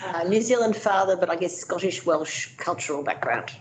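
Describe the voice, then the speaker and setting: A woman's voice: fairly low pitch, moderate pace. A woman chatting casually as an attendee at a meeting. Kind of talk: casual chat. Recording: echoey room, clear background noise.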